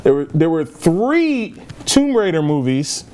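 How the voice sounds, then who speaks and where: A man with a fairly high-set voice co-hosts a podcast about films and geek news. He talks in a casual, chatty way, as if running down topics for a friend. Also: sing-song, measured pace, a crowd in the background.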